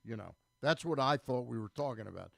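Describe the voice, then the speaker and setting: A man talks sports on the radio in a conversational way. His pitch is average for a man. The studio sound is dry, with very little echo. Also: animated, fairly quick, vocal fry.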